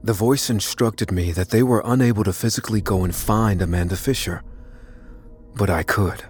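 An actor playing an anxious, unassuming man who narrates his hunt for a killer in an audio drama, measured and confessional. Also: fairly low pitch, darkish tone, lightly acted. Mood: grave, intent. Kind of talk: first-person dramatic narration in an audio thriller. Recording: music under the voice. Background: music.

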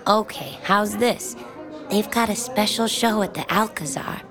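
An actress playing a forthright small-town diner waitress in an audio drama, wry, folksy and warm-hearted. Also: female voice, mid-range voice, measured pace, soft background music, close to an everyday voice. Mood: coaxing. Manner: teasing, folksy, direct. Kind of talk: naturalistic audio drama dialogue.

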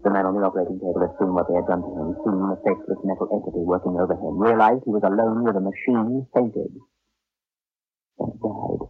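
A man playing the grave narrator of a science fiction radio drama, solemn, dramatic and measured. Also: sits low, fairly even intonation. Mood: grave, ominous. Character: grave storyteller of a science fiction horror tale. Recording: dull old radio recording.

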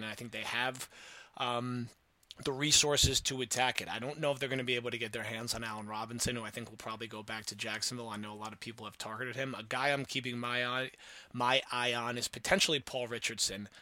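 A man's voice: medium pitch, bright, forceful tone, dry recording. A man with an American accent hosting a sports podcast, giving analytical, opinionated takes. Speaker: podcast host.

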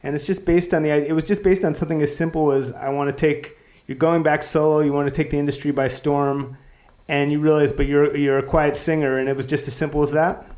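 A man hosting a casual interview, putting questions in a halting, conversational way and rephrasing as he goes. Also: fairly high pitch, level tone, darkish tone. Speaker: interviewer, podcast host.

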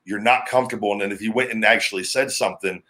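A man with a deep, heavy, booming voice, hosting a podcast in a loose, rambling, talkative way. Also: steady tone, fast talker, bright, forceful tone. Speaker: podcast host, former pro wrestler. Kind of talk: casual podcast chat.

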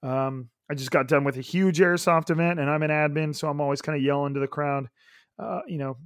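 A young man with a hoarse, raspy voice hosts a solo podcast in a loose, rambling way, full of fillers. He talks a little higher than most men. The sound is dry and close to the mic. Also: fairly even intonation, brisk, no echo. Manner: rambling, upbeat, lots of fillers. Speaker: podcast host. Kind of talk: solo casual podcast chat.

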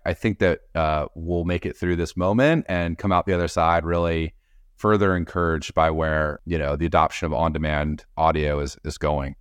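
Very deep-voiced man, measured business talk. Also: fairly even intonation, clear, resonant tone, no echo, studio quality. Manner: measured, businesslike, with frequent "you know" fillers. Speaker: podcast company executive, guest. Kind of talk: interview answers.

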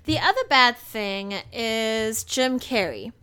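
A woman's voice: a little high, animated, takes it slowly, bright, forceful tone, smooth. A woman co-hosting a podcast, giving emphatic, opinionated takes in casual film review chat. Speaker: podcast co-host.

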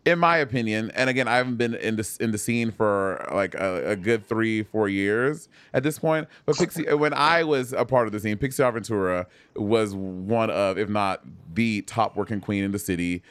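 A man's voice: fairly low pitch, moderate pace, hardly any pauses, a bit bright, smooth, dry recording. A man hosting a podcast in casual chat, brash and giggly, often cursing. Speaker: podcast host.

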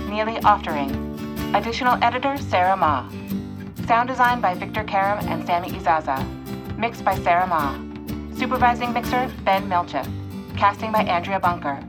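A woman announcer reading the end credits in a steady, even manner. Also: medium pitch.

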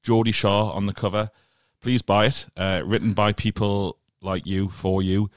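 A Northern English podcast host with a very deep voice, breezy and a little jokey as he runs the chat. The recording is dry and close. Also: fairly even intonation, normal speed, no room sound.

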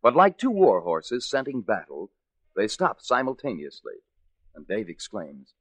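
A man's voice: fairly low pitch, wildly swooping pitch, a little slow, no echo. A man announcing and narrating in a scripted 1940s radio drama style. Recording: old radio broadcast with music. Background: music.

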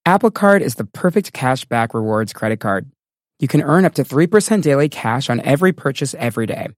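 A man reading a scripted advertisement with a smooth, even sales delivery. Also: mid-range voice, fairly quick, no echo. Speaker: ad announcer. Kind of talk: scripted ad read.

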